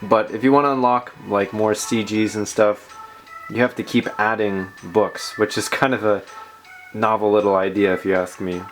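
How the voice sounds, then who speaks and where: A man's voice: lowish voice, fairly even intonation, normal speed, faint music behind. A man hosting a casual tech show-and-tell, talking informally in rambling asides. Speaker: tech video host.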